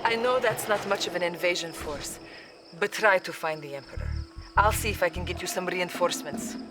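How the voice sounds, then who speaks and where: A woman's voice: fairly low pitch, fairly quick, lightly acted. A woman playing a loyal Soviet military intelligence officer, formally briefing in a video game. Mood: brisk, formal. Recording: music behind the voice.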